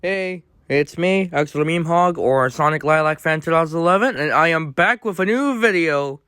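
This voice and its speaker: Male video host, slightly high voice, casual explainer commentary with choppy, halting delivery. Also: bright, forceful tone, clear, resonant tone, no room sound, clean studio sound.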